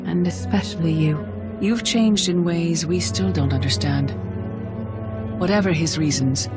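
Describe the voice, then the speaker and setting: An actress playing a calm, wise mystic mentor in a video game, speaking in a measured, earnest way. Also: female voice, low voice. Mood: solemn, guiding. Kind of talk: dramatic video game acting. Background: background music.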